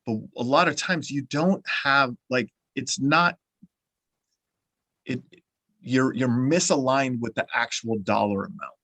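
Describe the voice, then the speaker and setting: A man's voice: medium pitch, unhurried, vocal fry, bright, forceful tone, no echo. A man hosting a podcast interview, talking in a loose, rambling way full of restarts and "uh". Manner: rambling and repeats his words, many "uh" restarts. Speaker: podcast host. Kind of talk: conversational interview.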